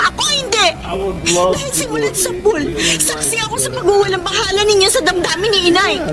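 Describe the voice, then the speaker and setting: An actress playing an emotional, reproachful grown daughter in a Tagalog radio drama. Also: female voice, very high voice. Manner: emotional, confronting, pleading. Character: anguished, reproachful grown daughter, a mother herself. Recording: loud music under the voice.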